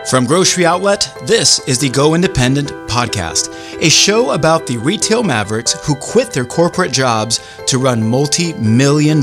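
A man's voice: fairly high pitch, dry recording. A man from Brazil hosting a podcast, chatting upbeat and casually with his guests. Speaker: podcast host.

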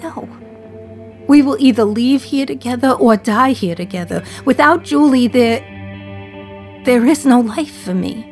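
A woman's voice: on the high side, unhurried, darkish tone, soft background music. An actress in her thirties playing a condemned woman in prison, reflecting in a wry, sardonic radio-play monologue. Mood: reflective.

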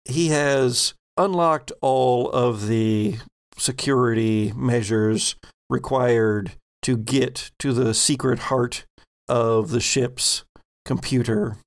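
A man narrating a horror tabletop game as game master, halting as he searches for words. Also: medium pitch, steady tone.

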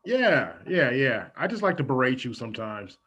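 A woman playing a curt, scornful wife who berates and dismisses haltingly, in an improvised comedy radio play. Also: a bass voice, very animated, a bit bright, slightly rough, highs cut off, full character voice. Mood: disdainful.

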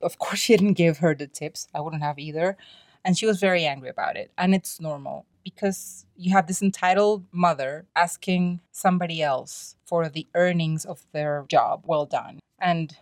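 A woman's voice: sits low, normal speed, no echo, studio quality. A woman hosting a podcast solo, talking in a conversational, explanatory and opinionated way. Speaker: podcast host.

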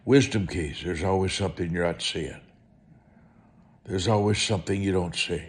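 A man preaching through personal storytelling, speaking in short, deliberate, emphatic phrases and repeating them for effect. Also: sits low. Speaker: preacher and author telling testimony. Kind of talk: storytelling sermon monologue.

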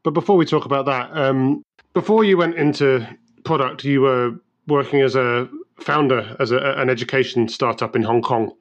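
A man's voice: medium pitch, normal speed. A man hosting an interview, asking questions hesitantly and often repeating words as he starts. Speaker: interview host. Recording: dull sound.

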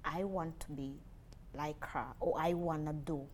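A Ugandan woman in her twenties, speaking earnestly and softly as an interview guest. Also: low voice, steady tone, a little slow, a little hiss or hum. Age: 20s. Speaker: interview guest, lab technologist. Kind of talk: casual interview conversation.

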